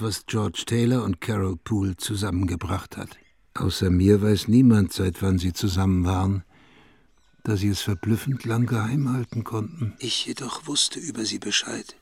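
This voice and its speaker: An actor playing a brooding villager who recalls his lonely youth in a measured, reflective radio drama narration. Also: on the low side, creaky, husky, no room sound.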